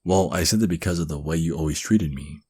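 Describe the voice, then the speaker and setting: A young man acting a shy, flustered guy in love with his best friend in an ASMR roleplay, speaking softly and hesitantly with stammers. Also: rumbling low, quick pace. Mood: tender, nervous. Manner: hesitant, stammering, breathy sighs and chuckles. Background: crickets chirping.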